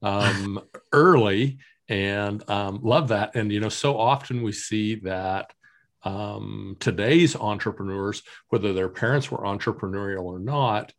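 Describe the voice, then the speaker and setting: Host, man with a light Texas accent, lowish voice, upbeat and affirming. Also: a little slow, gravelly. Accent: slight Texas. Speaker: podcast host. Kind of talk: casual interview chat.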